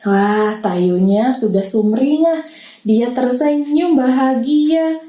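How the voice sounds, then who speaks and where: Woman reading to kids, high voice, unhurried, gentle teacherly questions, roomy echo. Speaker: children's book reader. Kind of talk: reading aloud to children.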